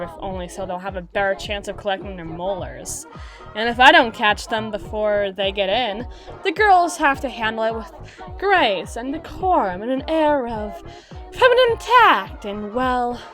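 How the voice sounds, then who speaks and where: Woman voicing a sarcastic young spider-girl bouncer, animated pitch. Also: full character voice. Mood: defensive, dismissive. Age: 20s. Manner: wry, brusque, theatrical delivery with exasperated sighs. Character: gruff, sarcastic, guarded 25-year-old drider girl bouncer. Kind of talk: ASMR roleplay audio drama. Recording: music playing in the middle distance. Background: club song playing in the middle distance.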